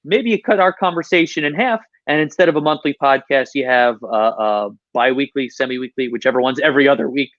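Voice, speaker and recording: A man's voice: mid-range voice, fairly quick, few pauses, a bit bright, no room sound. Man explaining things, dry dull-sounding recording. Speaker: podcast guest, podcast industry professional. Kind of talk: conversational interview explanation.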